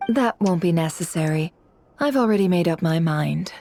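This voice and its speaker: A low-voiced, composed businesswoman. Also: animated, mellow, soft background music. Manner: measured, cool, formal. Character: composed, shrewd businesswoman boss, wry and grave. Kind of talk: video game voice acting.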